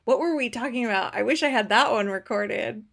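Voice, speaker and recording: A woman's voice: on the high side, a little fast, gravelly, a bit bright, slight background noise. A woman hosting a podcast, chatting easily and laughing often during an interview over a video call. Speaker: podcast host.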